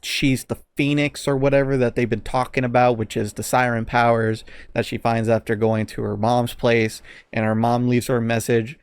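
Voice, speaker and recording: A man's voice: medium pitch, flat delivery, moderate pace, hardly any pauses, clean tone, no room sound. A man co-hosting a podcast, giving a casual, exasperated and profanity-laced movie review. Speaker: podcast co-host.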